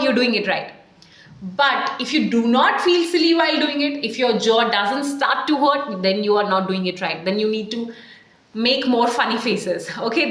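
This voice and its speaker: A woman in her thirties with an Indian accent, coaching viewers on camera in an emphatic, animated way with questions to the viewer. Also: a little high. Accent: Indian English. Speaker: communication coach. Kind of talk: to-camera tutorial. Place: small tiled room, indoors, at a desk. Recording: echoey small room.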